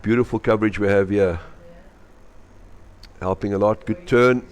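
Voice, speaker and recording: A man's voice: lowish voice, steady tone, moderate pace, clean tone. A man with a South African accent, a native Afrikaans speaker, giving live match commentary and cheering on his team. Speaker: sports commentator.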